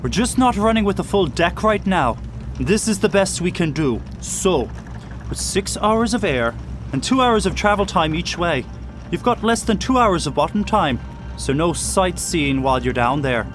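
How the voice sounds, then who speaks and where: An actor playing a cautious, fussy first mate and diving technician in an audio drama, speaking formally and precisely. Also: male voice, sits high, very animated, moderate pace, soft background music. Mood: earnest briefing. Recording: clear outdoor boat and engine noise.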